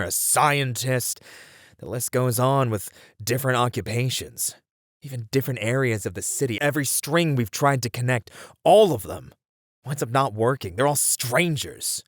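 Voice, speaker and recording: A man's voice: mid-range voice, dry recording, studio quality, natural voice. A man playing a weary, frustrated detective in an ASMR roleplay, talking conversationally to a partner and thinking aloud. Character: weary, frustrated male detective venting about a serial case. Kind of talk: ASMR roleplay acting, spoken to an unheard partner.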